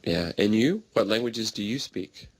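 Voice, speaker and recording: A man speaking in plain, simple sentences in a slow conversation practice recording. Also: fairly low pitch, animated, dry recording. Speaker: English learner in a practice dialogue.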